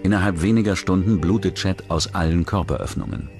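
A man's voice: low voice, a little fast, darkish tone. A man delivering scripted narration for a true-crime documentary in the role of narrator. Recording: narration over music bed. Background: music.

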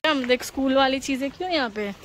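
A woman, chatting casually and teasingly to her phone as she vlogs, with bursts of exclamation. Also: quick pace. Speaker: vlogger. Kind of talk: casual outdoor vlog chat with companions. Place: outdoors at a beach and open-air cafe. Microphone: phone at arm's length. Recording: noisy outdoor phone recording with ambient rumble.

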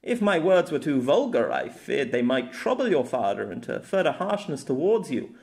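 A man voicing a smooth-talking, courteous knight with a formal manner in a fantasy story. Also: fairly high pitch, very animated, moderate pace, darkish tone. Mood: apologetic. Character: courteous, flowery young lady's knight, smooth-talking gentleman.

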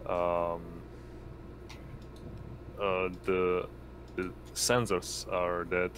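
Deep-voiced, slow-paced male game master. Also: little pitch movement, fairly bright tone, a little hiss or hum. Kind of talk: narrating an online tabletop roleplaying game. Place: indoors on an online voice call.

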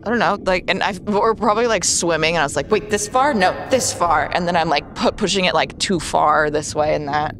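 A woman chatting casually as a tabletop game player, thinking aloud in halting bursts. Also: lowish voice, fairly bright tone.

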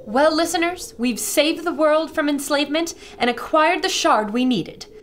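An actress playing an earnest young radio reporter, describing events to listeners in an audio adventure drama. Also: female voice, high-pitched, normal speed, a little hiss or hum, lightly acted. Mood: hopeful, solemn.

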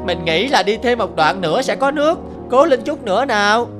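A woman's voice: a little high, fairly quick, over music, lightly acted. A woman voicing a cheerful, plucky young cartoon monkey with an upbeat, determined manner. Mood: encouraging. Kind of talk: cartoon folk tale dub.

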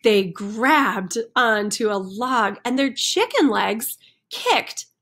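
A woman's voice: fairly high pitch, expressive, slow, a bit bright. A woman reading a picture book aloud to young children, expressively leaning on the rhyming sound words. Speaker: children's center storyteller. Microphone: computer microphone on a screen recording with webcam.

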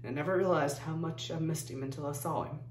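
A woman playing a plain-spoken, grieving figure, haunted and halting, in a dramatic stage monologue. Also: low-pitched, steady tone, darkish tone, slight background noise, natural voice. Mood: subdued, wistful. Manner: subdued, halting, folksy storytelling. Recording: echoey room, distant microphone.

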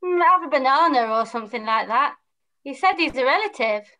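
A woman's voice: sits high, expressive, brisk, bright, forceful tone. A woman playing an eager, lovestruck young boy hero, reading earnestly in an amateur pantomime rehearsal on a video call. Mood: eager, smitten. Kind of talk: amateur pantomime read-through. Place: small room, on a video call.

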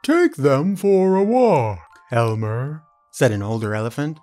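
A man reading a children's picture book aloud, playful and animated. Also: medium pitch, a little slow, soft background music. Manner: animated, playful voices for characters. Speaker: puppet show host reading aloud.

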